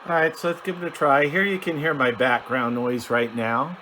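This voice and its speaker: A man's voice: medium pitch, little pitch movement, moderate pace, bright, forceful tone, a little hiss or hum. A middle-aged man casually explaining a hobby project in a home office, hesitant and plainspoken with frequent ums. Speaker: radio hobby video host. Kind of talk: casual how-to demonstration.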